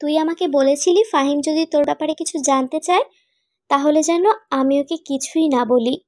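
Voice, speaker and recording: A woman playing a concerned, questioning young friend in an animated romantic drama. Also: sits high, fairly quick, no echo, clean studio sound, close to an everyday voice. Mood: earnest, reproachful.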